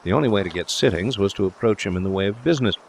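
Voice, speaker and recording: A man voicing a breezy, confident young gentleman suitor in an audiobook, speaking in a jaunty, reassuring, chatty way. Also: lowish voice, rapid-fire, creaky, slight background noise. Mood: chatty, confiding. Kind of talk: audiobook character dialogue.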